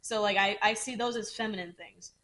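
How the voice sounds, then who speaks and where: A woman's voice: medium pitch, fairly quick, sharp tone. A woman co-hosting a podcast, chatting casually and frankly, swearing freely and explaining things at length with asides. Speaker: podcast co-host. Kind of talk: casual chat.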